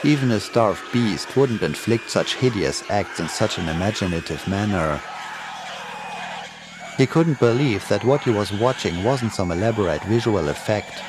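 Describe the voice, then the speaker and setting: A man narrates a horror tale in a fairly low voice. His scripted reading sits over clearly audible noise and ambience. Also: expressive, normal speed.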